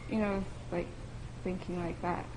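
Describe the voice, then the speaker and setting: A woman's voice: fairly low pitch, level tone, a little slow. A woman in a group asking a question hesitantly, with fillers. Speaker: group participant. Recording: hissy recording.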